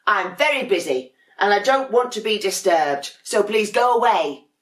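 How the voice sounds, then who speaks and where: A woman's voice: mid-range voice, animated, normal speed, echoey room, pitched above the performer's own voice, natural voice. A woman voicing a grumpy young male penguin in a children's storybook, protesting emphatically and indignantly.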